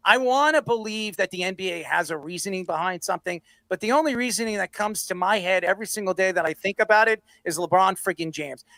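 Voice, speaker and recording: A man's voice: sits high, fast talker, vocal fry, hardly any pauses, pushed and bright, no room sound. A man hosting a sports debate show, blunt and combative, given to ranting and swearing.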